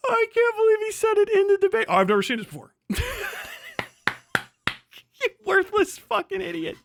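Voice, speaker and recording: A man giving casual, sweary livestream commentary in an exasperated, sarcastic, animated manner. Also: very high voice, moderate pace, creaky, noisy background. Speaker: livestream host reacting to a recorded conversation.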